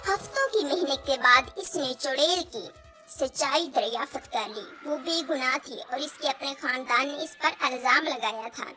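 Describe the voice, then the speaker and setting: High-pitched woman storyteller over music. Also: animated, normal speed, bright, forceful tone. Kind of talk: scripted fairy-tale narration.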